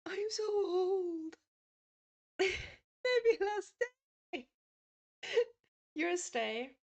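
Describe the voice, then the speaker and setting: A woman in her twenties chatting casually with her stream viewers, laughing often and reading out their messages. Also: on the high side. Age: 20s. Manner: chatty, giggly, reads chat aloud.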